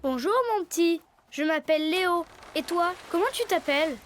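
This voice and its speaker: Young boy leopard hero: very high voice, caring, eager, polite, cartoon style, light music. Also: moderate pace. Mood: gentle, reassuring. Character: kind, brave young male leopard cub leader. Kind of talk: cartoon dubbing.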